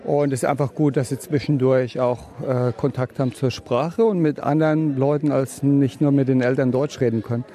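A man's voice: mid-range voice, steady tone, normal speed, hardly any pauses, dark tone. A man, a parent being interviewed, who answers thoughtfully and sometimes restarts his sentences.

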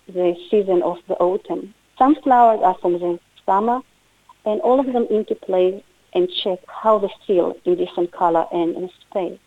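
A middle-aged woman from Israel with an Israeli accent, a native Hebrew speaker answering radio interview questions in halting English with restarts. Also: mid-range voice, no echo. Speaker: guest artist. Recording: tinny phone line.

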